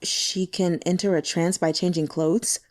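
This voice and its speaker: A woman's voice: on the low side, fairly even intonation, unhurried, lightly acted. Proud male sect leader for fantasy audiobook, read by a woman: haughty, stiff, disdainful delivery.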